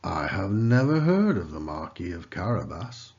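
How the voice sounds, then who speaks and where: A man's voice: sits low, very animated, normal speed, a little mellow, highs cut off. A man voicing a pompous but gracious king in a children's storybook, with grand, emphatic delivery. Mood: grandly pleased. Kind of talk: children's storybook character reading.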